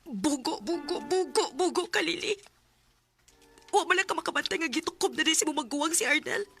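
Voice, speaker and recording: A high, piercing young Cebuano woman's voice a betrayed younger sister in a radio drama. She is bitter and openly emotional, with melodramatic delivery over faint music. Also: animated, fairly quick, a bit bright. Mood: anguished, self-blaming. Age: young adult. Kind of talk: Bisaya radio drama acting.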